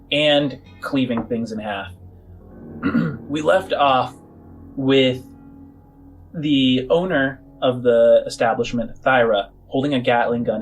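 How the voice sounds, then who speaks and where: A man hosting and narrating a tabletop roleplaying game in halting, improvised short phrases. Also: mid-range voice, poor audio. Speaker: game master and host of a tabletop game show. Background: music.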